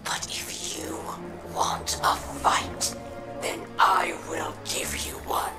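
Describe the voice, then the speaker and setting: Echoing and whispered, this is a proud, bitter female alien warlord from a video game. She draws out each threat in a creaky growl, swooping in pitch, with loud music behind her. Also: flat delivery. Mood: threatening. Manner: slow, deliberate, theatrical and threatening. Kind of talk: scripted video game dialogue.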